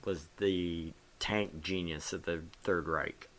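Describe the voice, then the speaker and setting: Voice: sits low, fairly even intonation, slow. A host giving casual, sweary commentary while playing through a game. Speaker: host reading a game aloud.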